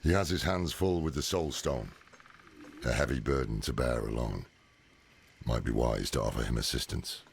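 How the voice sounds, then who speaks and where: An actor playing a world-weary veteran scholar-warrior in a dark fantasy game, measured and wry, with a gravelly voice. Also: male voice, slight background noise. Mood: resolute. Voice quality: deep, gravelly. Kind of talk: dramatic video game dialogue and narration. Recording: dramatic game music underneath.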